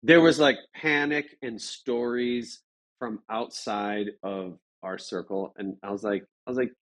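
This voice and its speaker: An American man from Chicago telling stories casually as an interview guest, halting and rambling with lots of filler words. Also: medium pitch, steady tone, fairly bright tone. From Chicago, United States. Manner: halting, rambling, frequent "like" and "you know". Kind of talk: casual conversational storytelling. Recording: dull lo-fi audio.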